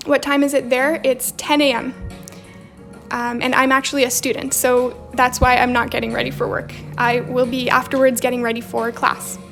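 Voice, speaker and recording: A woman's voice: high-pitched, level tone, moderate pace, vocal fry, a bit bright. A young woman with a slight Romanian accent chats casually while she hosts a journaling livestream. She reads viewer comments aloud and answers them. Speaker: livestream host. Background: faint background music.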